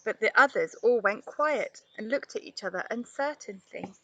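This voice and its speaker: Bright, lively woman reading a children's story. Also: medium pitch, normal speed, muffled recording, a little hiss or hum. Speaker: storybook reader, play therapist. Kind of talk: expressive scripted children's story narration.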